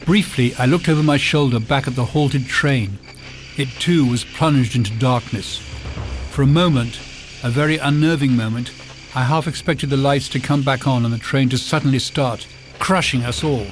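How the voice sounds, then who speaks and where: A man's voice: mid-range voice, moderate pace. A man narrating a mystery audiobook in a dramatic, suspenseful first-person style. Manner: suspenseful, theatrical. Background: music score.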